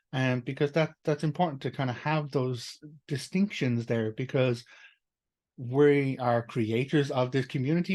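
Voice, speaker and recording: A man's voice: mid-range voice, a little mellow. An Irish man, a teacher and co-founder of a school, talking casually and earnestly in a rambling monologue with many restarts, fillers and the odd swear. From Ireland.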